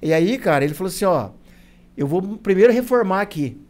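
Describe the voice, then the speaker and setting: A Brazilian man speaks very quickly in a fairly high voice. He is an interview guest telling anecdotes casually, slipping into colloquial retellings of conversations. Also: slight background noise. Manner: colloquial, animated retelling with quoted dialogue.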